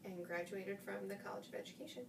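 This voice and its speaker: A woman in her forties from Indiana, thoughtfully answering interview questions with pauses. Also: mid-range voice, level tone, vocal fry, roomy sound. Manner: thoughtful, pausing with fillers. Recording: distant mic with background noise.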